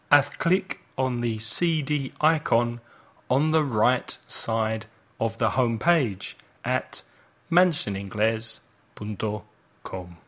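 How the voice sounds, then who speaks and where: A man teaching an English lesson as host, enunciating deliberately and didactically, with phrases set out for learners to repeat. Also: mid-range voice, a bit bright. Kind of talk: language lesson with slow, repeat-after-me delivery.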